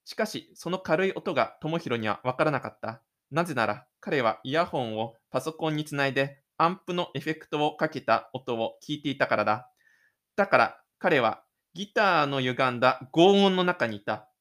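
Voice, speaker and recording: A man's voice: mid-range voice, animated, moderate pace, fairly bright tone. A man reading scripted literary narration for an audio drama.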